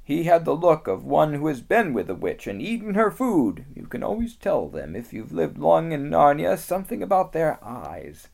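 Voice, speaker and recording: A reader voicing a stern, wary male beaver who speaks with grave urgency, higher and louder than the narration. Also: sing-song, normal speed, creaky, few pauses. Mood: urgent. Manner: urgent, emphatic storybook delivery.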